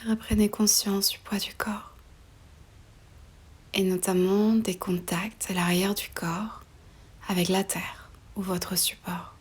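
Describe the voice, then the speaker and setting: Soft, breathy woman guiding meditation. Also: medium pitch, little pitch movement. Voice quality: soft, breathy, often near a whisper. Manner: slow, soothing, pausing between short phrases. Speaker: yoga nidra meditation guide. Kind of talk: guided relaxation meditation.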